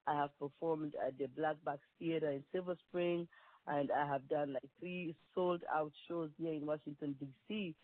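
A woman's voice: low-pitched, fairly even intonation, moderate pace, dry recording. A woman from Kenya with a Kenyan accent, a performing artist talking earnestly in a phone interview, rambling with many restarts. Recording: tinny dry phone line.

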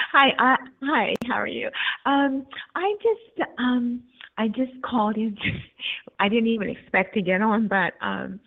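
A woman's voice: a little high, unhurried, creaky. A woman in her sixties calling in to a radio show, shy and giggly, hesitant. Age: 60s. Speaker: caller. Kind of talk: phone-in call. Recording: tinny phone line.

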